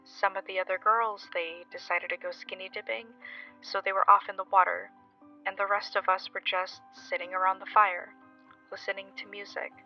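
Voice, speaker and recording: A woman playing a shaken young woman recounting a frightening experience, halting and earnest, in an audio drama. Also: medium pitch, level tone, natural voice. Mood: uneasy. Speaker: caller telling a story. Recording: dull lo-fi recording, music underneath.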